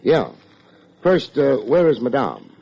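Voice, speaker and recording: An actor playing a wry, wordy hard-boiled private detective with dry sardonic delivery, in old-time radio drama style. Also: male voice, medium pitch, very animated, takes it slowly, gravelly, breathy edge, exaggerated voice. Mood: brisk, sardonic. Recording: muffled old radio recording with music.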